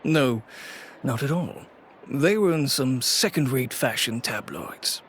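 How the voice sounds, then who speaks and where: A man playing a courteous, weary and wry merchant in a video game, speaking in a measured, creaky voice. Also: medium pitch, lively intonation, fairly bright tone, slight background noise. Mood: matter-of-fact.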